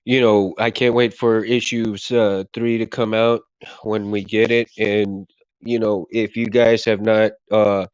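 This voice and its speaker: A man's voice: on the low side, flat delivery, measured pace, clean tone, no echo, highs cut off. A man hosting a casual livestream who is losing his voice, rambling and repeating himself as he thanks his viewers. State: hoarse, losing his voice, coughing. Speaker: livestream host.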